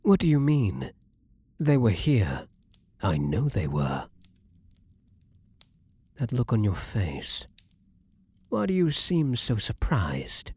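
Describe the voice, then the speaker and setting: A man playing an imperious, lovesick vampire king in an intimate ASMR fantasy roleplay, speaking formally and theatrically with breathy, dramatic pauses. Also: medium pitch, wildly swooping pitch, dark tone, no echo, heavily acted. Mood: cold menacing fury. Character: ardent, imperious male vampire king, lovesick then menacing.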